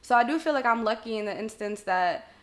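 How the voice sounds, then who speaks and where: A young woman in her twenties speaks as a podcast guest. Her voice is loud and upbeat, and she laughs often while chatting casually. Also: a little high, lively intonation, a little fast. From family from Algeria. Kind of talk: casual chat.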